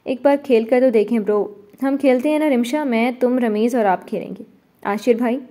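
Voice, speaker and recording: A woman's voice: fairly high pitch, normal speed, dark tone, close to an everyday voice. Woman reading as a coaxing cheerful young woman. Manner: coaxing, pleading.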